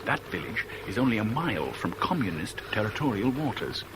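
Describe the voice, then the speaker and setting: An actor playing a sharp, sardonic, impatient smuggler on the run in a 1970s radio drama. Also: male voice, fairly low pitch, sing-song, brisk. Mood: curt, impatient. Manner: sardonic, curt. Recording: noisy vintage radio drama, sound effects behind.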